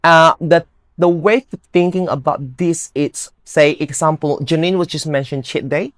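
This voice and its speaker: A woman's voice: low-pitched, moderate pace, dry recording. Upbeat Indonesian-accented woman, dry sound. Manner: upbeat, earnest, non-native grammar. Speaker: guest, bodybuilder. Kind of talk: casual interview chat.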